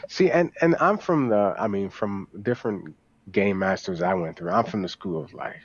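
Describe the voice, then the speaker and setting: A man with a fairly low voice speaks as an eager podcast guest in casual chat. He starts and stops, repeating himself as he goes. The recording is dry and somewhat dull, with a lo-fi sound. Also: animated, normal speed, no echo.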